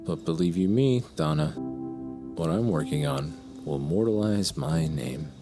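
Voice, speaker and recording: Audio drama villain: murderous author, gravelly creak, unhurried, intense and self-important. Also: male voice, extremely low pitch, animated, over music, heavily acted. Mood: intense, secretive. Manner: grandiose, deliberate, intense. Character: delusional murderous writer, brooding, menacing man. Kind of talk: dark audio drama monologue and dialogue.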